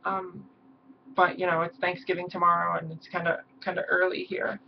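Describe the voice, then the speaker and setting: A hoarse young woman talking haltingly in a personal vlog, with many pauses and ums. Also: lowish voice, level tone. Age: young adult. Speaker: vlogger. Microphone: camera mic. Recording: dull lo-fi mic with faint hiss.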